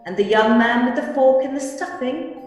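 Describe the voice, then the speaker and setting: A woman's voice: sits high, normal speed, close to an everyday voice. A woman playing a jolly, commanding giant spirit in a radio play, stately and declamatory. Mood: stately, kindly. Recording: cavernous long reverb, music behind.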